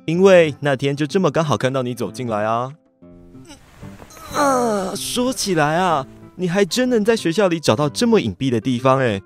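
A lively, teasing schoolboy, Taiwanese Mandarin. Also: male voice, a little high, moderate pace, soft background music.

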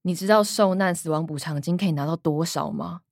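A woman's voice: lowish voice, a little fast, darkish tone, dry recording, studio quality. A woman playing a blunt, shrewd grown daughter in a radio drama, curt and questioning with a Taiwanese accent. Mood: probing.

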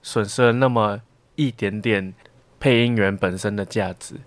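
A man's voice: on the low side, steady tone, normal speed, no echo. A young man, a student guest, explaining things at length in a casual interview chat. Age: young adult.

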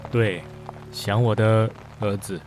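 A man's voice: lowish voice, steady tone, slow, a little mellow, soft background music. An actor playing an aloof, curt male boss of about thirty in a modern comedy radio drama. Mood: weary. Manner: curt, cool, exasperated.

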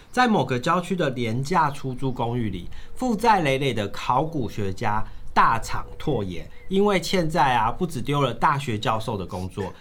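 A man co-hosting a book podcast, narrating from a script like a storyteller with drawn-out phrase endings. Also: medium pitch, a bit bright, a little hiss or hum.

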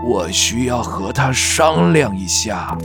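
Woman acting slow, grave older lady doctor. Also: very deep voice, animated, music in the background, full character voice. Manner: measured, formal, deliberate. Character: older gray-haired female doctor, grave and courteous. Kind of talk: audio drama acting.

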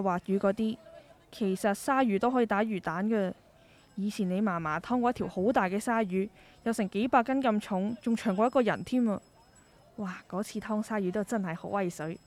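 A middle-aged market-stall mother in a radio drama, acted by a woman, talks in Hong Kong Cantonese at a middling female pitch. She is caring and a little world-weary, with a concerned, reflective way of speaking. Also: moderate pace, a little mellow, natural voice. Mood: nostalgic. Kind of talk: scripted radio drama dialogue.

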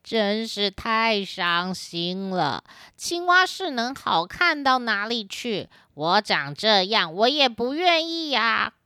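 A woman playing an enchanted male frog, plaintive and bargaining, speaking deliberately in a children's audio drama. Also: a little high, expressive, sharp tone, smooth, dry recording, studio quality, full character voice. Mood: sorrowful.

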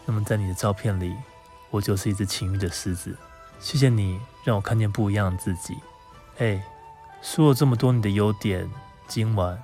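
Low-voiced man, earnest and romantic. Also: darkish tone. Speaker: photographer's model leaving a recorded message. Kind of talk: prepared spoken message.

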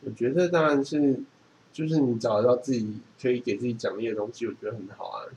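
With noticeable noise around him, a man with a Taiwanese Mandarin accent talks in a medium-pitched voice. He explains things casually and matter-of-factly, like a co-host on a chatty podcast. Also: fast talker, darkish tone. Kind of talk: casual chat. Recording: clearly noisy background.

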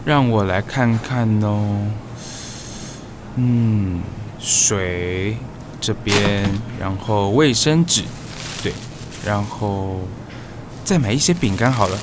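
Man acting a slow-talking, wry young Taiwanese guy. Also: lowish voice. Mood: musing. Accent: Taiwanese Mandarin. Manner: muttering to himself, playful. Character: chatty, wry young man new to the neighborhood. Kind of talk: audio drama monologue. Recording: clear noise behind the voice.

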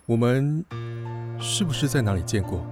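An actor playing a courteous, reserved businessman in a Taiwanese Mandarin radio drama, speaking calmly, steadily and in few words. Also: mid-range voice, normal speed, natural voice. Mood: reserved, pensive. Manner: calm, terse, steady. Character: composed, courteous, reserved well-dressed businessman. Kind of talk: Mandarin radio drama acting with inner monologue. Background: background music.

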